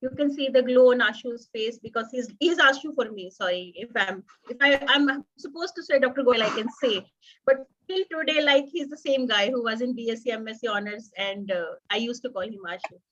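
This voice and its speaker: A woman's voice: a little high, brisk. A woman with an Indian English accent hosting a college online event, effusive and mixing in Hindi. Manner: warm, effusive, mixes Hindi. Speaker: college host. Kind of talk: event introduction. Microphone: video call. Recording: dull lo-fi video call audio.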